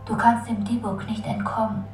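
A woman's voice: medium pitch, level tone, moderate pace, vocal fry, a little mellow, lightly acted. A woman playing a haunting, searching inner voice, speaking oracular lines in a poetic radio play. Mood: searching, incantatory. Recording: roomy, distant voice over faint music.

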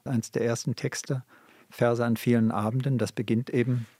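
A man's voice: mid-range voice, level tone, mellow, slightly rough, dry recording. An older man answering interview questions as a literary scholar, searching for his phrasing and repeating points for emphasis. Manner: halting, searching, emphatic repetition. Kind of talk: reflective spoken interview answers.

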